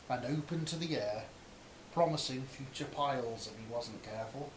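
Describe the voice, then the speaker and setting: A man reading a comic fantasy story aloud as scripted narration. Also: fairly high pitch. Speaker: author or reader of a comic fantasy novel. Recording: roomy echo, faint hiss.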